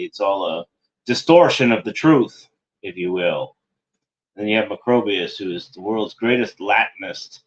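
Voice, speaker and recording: Man, low voice, lo-fi home recording; wandering, snide online commentary monologue. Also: a bit bright. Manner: meandering, sardonic, self-correcting. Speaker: internet show host.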